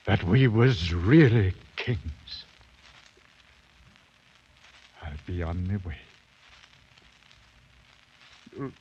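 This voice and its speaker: An actor playing a half-mad British ex-soldier, a haunted survivor, halting and rambling, in 1950s radio drama style. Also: male voice, low-pitched, darkish tone, exaggerated voice. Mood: spent, polite. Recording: muffled, noisy 1950s radio broadcast.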